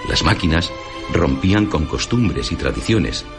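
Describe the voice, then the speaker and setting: Deep, expressive Castilian male narrator over music. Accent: Castilian Spanish. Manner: measured, dramatic storyteller delivery. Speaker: radio narrator and presenter. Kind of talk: scripted literary radio narration. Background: music behind the voice.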